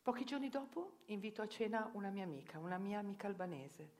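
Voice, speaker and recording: A woman's voice: medium pitch, lively intonation, quick pace, darkish tone. Ironic Italian-speaking woman, theatrical monologue. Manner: ironic, self-questioning, rising to urgent outbursts. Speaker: journalist and stage performer. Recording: radio broadcast with faint music. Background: live music.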